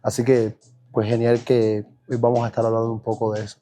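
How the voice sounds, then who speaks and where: A man from Cuba with a Cuban Spanish accent, a dancer recounting his childhood in a relaxed, reflective podcast interview, with frequent fillers and restarts. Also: mid-range voice, fairly quick, soft background music. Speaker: guest dancer. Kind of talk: podcast interview, personal storytelling.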